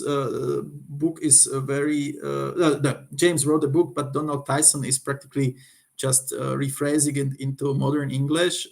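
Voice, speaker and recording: A man from Slovakia with a Slovak accent gives an informal, unscripted review, halting as he searches for words. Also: a little high, creaky. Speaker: occult book reviewer.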